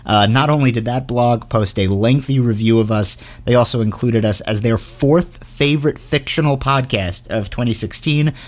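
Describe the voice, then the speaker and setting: A man's voice: medium pitch, moderate pace, hardly any pauses. A man hosting a podcast, giving announcements in a chatty, informal, earnest way. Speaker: podcast host and show creator.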